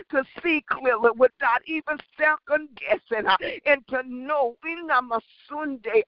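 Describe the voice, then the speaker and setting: A woman's voice: high-pitched, dry recording. A woman leading fervent intercessory prayer, chanting rhythmically in tongues between English declarations, with frequent filler sounds. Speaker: prayer leader. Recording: dull lo-fi dry recording.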